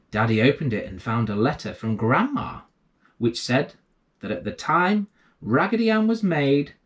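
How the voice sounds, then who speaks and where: Man reading bedtime stories with lively pitch. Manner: expressive, addressing young children. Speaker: bedtime story reader and host. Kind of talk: reading a children's bedtime story aloud.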